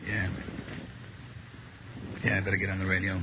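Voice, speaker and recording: An actor playing a brisk male police lieutenant in a 1950s radio drama, terse and urgent. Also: low-pitched, monotone, lightly acted. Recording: muffled, very noisy vintage radio recording.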